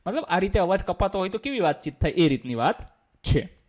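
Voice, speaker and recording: A man's voice: high voice, very animated, quick pace, darkish tone. A man with a Gujarati accent teaching a school English lesson, explaining step by step in a colloquial way and often answering his own questions.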